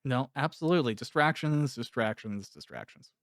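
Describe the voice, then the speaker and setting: Clear-voiced man in reflective conversation. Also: medium pitch, animated, normal speed, fairly bright tone, dry recording, clean studio sound. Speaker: author interviewing a cast member. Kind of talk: casual, reflective interview conversation.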